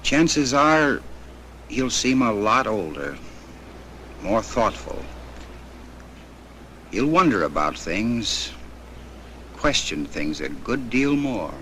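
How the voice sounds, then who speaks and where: Deep, slow, calm 1940s American commentator. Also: male voice. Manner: calm, plain-spoken, measured. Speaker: war correspondent. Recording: old 1940s film soundtrack, faint noise.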